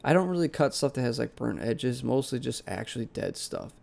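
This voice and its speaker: Young male vlog host with a head cold, nasal and gravelly, relaxed rambling delivery. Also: medium pitch, fairly quick. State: congested, sick and nasal. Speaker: plant channel host. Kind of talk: casual voice-over vlog narration. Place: basement plant room.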